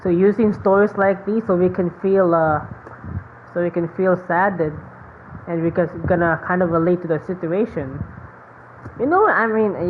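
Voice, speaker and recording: A woman with a Filipino accent giving casual, rambling game commentary, halting and repeating phrases. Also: lowish voice. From Philippines. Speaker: game streamer. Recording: phone-like narrow sound, slight noise.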